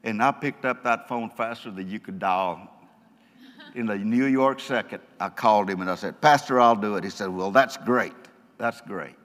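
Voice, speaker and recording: A man's voice: on the low side, normal speed, fairly bright tone. Stuttering male church speaker, folksy humorous testimony, reverberant hall. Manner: folksy, joking storyteller. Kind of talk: testimony talk to a congregation.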